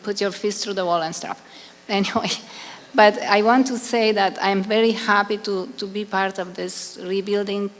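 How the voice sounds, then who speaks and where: A woman's voice: medium pitch, steady tone, normal speed. A middle-aged woman from Romania with a Romanian accent, speaking earnestly and effusively at a public meeting, sometimes searching for words. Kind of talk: public comment at a consultation meeting. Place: public consultation meeting in a hall. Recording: reverberant hall, faint hum.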